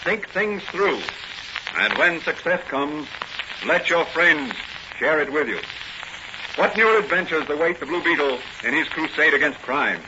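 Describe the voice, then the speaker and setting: Male announcer, 1940s radio narration, formal, dramatic, fairly high voice, scratchy muffled recording. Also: lively intonation, measured pace.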